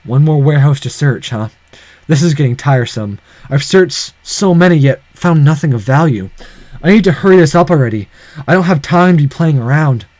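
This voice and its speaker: A man's voice: on the high side, a little fast, darkish tone, no echo, close to an everyday voice. A man playing a determined but self-doubting young man on a rescue in a visual novel, tense and earnest. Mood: frustrated.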